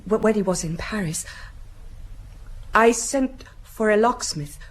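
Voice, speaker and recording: An actress playing a frightened, melancholy young gentlewoman in a radio drama, with a hesitant, breathy, dramatic delivery. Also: mid-range voice, animated, measured pace. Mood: anguished, confiding.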